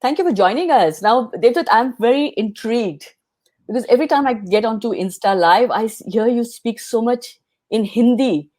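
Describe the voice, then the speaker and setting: A woman's voice: on the high side, lively intonation, normal speed, darkish tone. A woman hosting an interview show, earnest in tone, with small restarts as she speaks. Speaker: host.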